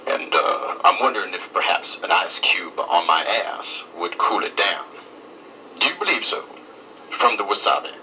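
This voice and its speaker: A man playing an earnest, distressed restaurant customer on a prank phone call, speaking in a deadpan, halting, insistent way. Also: sits low, exaggerated voice. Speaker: prank caller. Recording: distant, noisy phone call recording.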